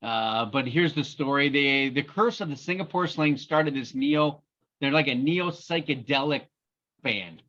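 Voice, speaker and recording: A man's voice: medium pitch, unhurried, a bit bright, lo-fi sound. A man hosting a casual podcast, talking with a wry, sarcastic humor. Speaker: podcast host. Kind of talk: casual chat.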